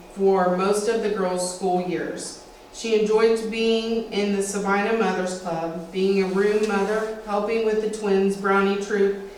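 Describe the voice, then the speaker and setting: A middle-aged American woman reading a prepared family history script aloud to a small gathering at a local historical society. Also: mid-range voice. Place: indoor meeting room with a small audience. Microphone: distant camera, speaker at a lectern. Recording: echoey room, distant mic, faint background noise.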